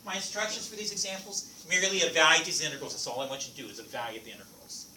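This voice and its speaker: A man whose first language is French, teaching a math class in a lively, playful way and asking the students questions. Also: a little high, very fast, far from the mic. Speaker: math instructor. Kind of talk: classroom lecture. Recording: echoey classroom recorded from afar, noisy.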